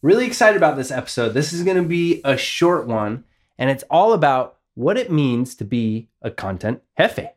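A man's voice: medium pitch, sing-song, moderate pace. A man co-hosting a casual podcast, upbeat and enthusiastic as he explains ideas. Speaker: podcast co-host, audio and video producer.

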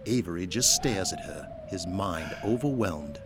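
Guy narrating an audio drama, lowish voice, scripted, faint noise. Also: expressive, a little slow.

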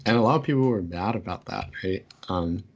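A man's voice: sits low, animated, fairly quick, a little mellow, lo-fi sound. A young man, a podcast co-host whose first language is Taishanese, chatting casually with lots of fillers and self-corrections.

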